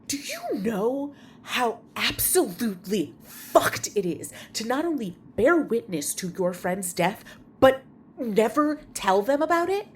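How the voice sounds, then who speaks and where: Troubled young woman played by an actress, hesitant and emotional, unhurried, expressive intonation. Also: a little high, a little hiss or hum. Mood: angry, anguished. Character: anguished, secretive young woman confronting a friend. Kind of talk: naturalistic audio drama acting.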